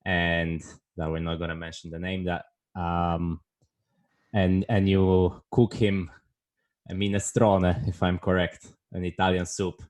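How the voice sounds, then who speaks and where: A man's voice: low voice, level tone, measured pace, darkish tone. A man hosting a podcast, casual and joking, who teases his guest and swears freely. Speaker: podcast host.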